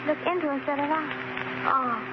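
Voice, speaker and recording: Actress as a high, girlish, adoring secretary. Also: steady tone, normal speed, close to an everyday voice. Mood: puzzled, coy. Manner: girlish, gushing, wide-eyed. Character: naive, adoring young female secretary, breathlessly curious. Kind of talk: 1940s radio comedy-drama acting. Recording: muffled, very noisy old radio recording.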